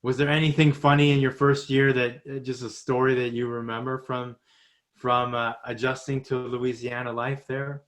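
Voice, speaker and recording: A man's voice: medium pitch, little pitch movement, normal speed, fairly bright tone. A man hosting a podcast, asking friendly questions with small restarts. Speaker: podcast host. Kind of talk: interview host.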